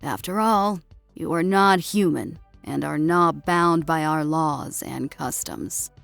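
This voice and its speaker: A woman's voice: low-pitched, slow, vocal fry, no echo, soft background music, voice pushed down, full character voice. A woman voicing a proud, assuring female senior drill sergeant in an audiobook, addressing recruits in a formal military way. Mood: friendly, assuring. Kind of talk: dramatic audiobook character acting.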